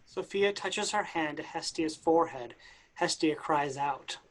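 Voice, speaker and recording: A woman's voice: deep voice, unhurried. Woman narrator reading out stage directions for a play, plain scene-setting.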